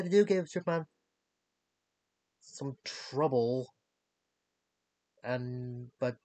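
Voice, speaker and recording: A young adult man giving a casual recap in a halting, disjointed way, searching for words. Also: medium pitch, a little mellow, no room sound. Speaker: reviewer of animated series. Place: small cluttered bedroom, indoors.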